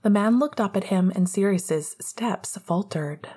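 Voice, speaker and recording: A woman's voice: medium pitch, unhurried, warm tone, slightly rough. A woman reading fiction aloud as scripted narration, in the manner of an audiobook reader.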